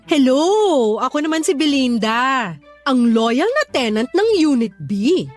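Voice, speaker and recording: A competitive middle-aged mother in a radio drama, high-voiced with a lively, swooping delivery. She bickers and defends herself with quick comebacks over light music. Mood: bickering.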